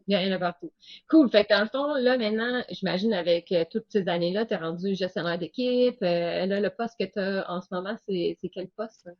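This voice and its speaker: A woman with a Quebec French accent and a medium-pitched voice. She interviews casually and conversationally as a podcast host. The sound is dry and somewhat lo-fi. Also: brisk, dry recording. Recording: dry, dull podcast audio.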